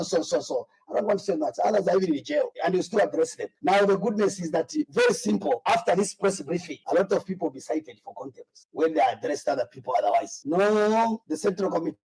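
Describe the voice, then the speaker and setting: A Zambian man speaks as a party leader at a briefing, in a high, husky voice that swings widely. He is emphatic and combative, repeating himself for effect. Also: darkish tone, rough recording. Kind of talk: press briefing remarks.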